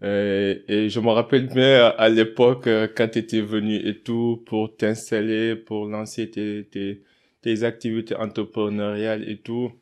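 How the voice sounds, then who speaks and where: A man hosting a podcast, chatting in a relaxed way with frequent fillers and laughs. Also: lowish voice, unhurried, clear, resonant tone. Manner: relaxed, laughs often, many fillers and restarts. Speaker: podcast host. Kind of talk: casual interview.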